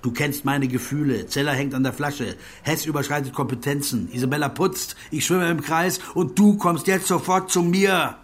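An actor playing a cynical, driven investigator in a radio crime drama, curt, biting and insistent. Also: male voice, a little high, normal speed, hardly any pauses, breathy edge. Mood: bitter, cynical. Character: sick, driven male investigator, cynical and bitter. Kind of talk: modern German radio crime drama acting.

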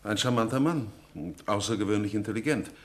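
A man playing a talkative, slightly hesitant gentleman writer in a 1950s German radio play. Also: lowish voice, a little fast, lightly acted. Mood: chatty, admiring. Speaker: radio drama actor.

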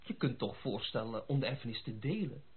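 An actor playing a sensible, loyal gentleman friend in a radio drama, reasoning in a matter-of-fact way. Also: mid-range voice, animated, a little fast, husky. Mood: reasoning, sympathetic. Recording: muffled lo-fi radio play recording.

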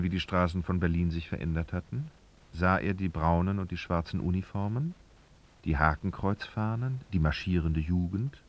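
A man narrating a literary radio drama in a gravelly voice, with expressive, knowing, ironic storytelling. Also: sits low. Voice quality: creaky, gravelly.

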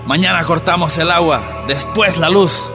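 An actor playing a threatening army officer in a radio drama. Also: male voice, a little high, normal speed, natural voice. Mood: menacing. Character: threatening male army officer breaking a strike. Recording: reverberant hall, music underneath.

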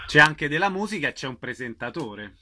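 A man's voice: a little high, big pitch swings, brisk, sharp tone. A man acting as interviewer in a casual promotional chat, giving short prompts and agreeing. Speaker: radio interviewer.

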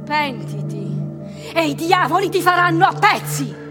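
Echoing, high-voiced evil angel. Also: female voice, big pitch swings, measured pace, music in the background, full character voice. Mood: threatening. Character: evil angel, cold spirit of damnation. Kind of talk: classical radio theatre. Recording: long cavernous reverb.

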